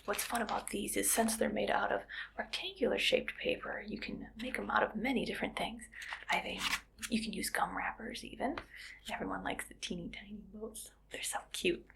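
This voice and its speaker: A woman playing a quirky, friendly art teacher in a soft, whispered ASMR roleplay, speaking in a gentle, unhurried murmur. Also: medium pitch, steady tone, vocal fry, poor audio. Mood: soft, encouraging. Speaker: ASMR performer.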